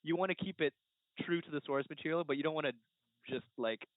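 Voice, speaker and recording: A man on a podcast panel chatting casually, haltingly searching for his words. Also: on the high side. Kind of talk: casual chat. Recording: muffled narrow-band phone-like audio.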